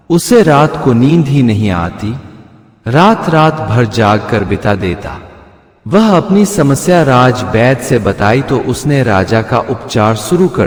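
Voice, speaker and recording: A man narrating a scripted moral bedtime story as a storyteller. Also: medium pitch. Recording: echoey hall-like long reverb.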